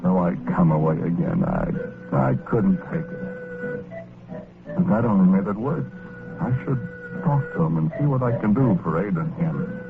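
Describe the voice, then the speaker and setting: A man's voice: deep voice, very animated, normal speed, vocal fry, heavily acted. An actor with an American accent playing a well-meaning, exasperated working-class ghost who tells his story in slangy 1940s radio drama style. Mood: rueful. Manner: colloquial, folksy slangy storytelling. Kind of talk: 1940s radio horror-comedy acting, first-person narration. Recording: scratchy, noisy, narrow-band old radio recording.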